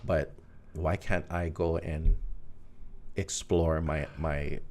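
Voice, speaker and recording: A man, talking reflectively as an interview guest, pausing often with fillers and laughing easily. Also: extremely low pitch, little pitch movement, a little mellow. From Hawaii. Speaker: guest musician. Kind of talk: casual interview conversation.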